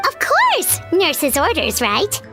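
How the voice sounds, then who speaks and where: A woman voicing a tiny, childlike, excitable female flying companion in a video game. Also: extremely high pitch, slow, music in the background, full character voice. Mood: excited, curious. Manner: exclaiming, refers to self in third person. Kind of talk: animated video game voice acting.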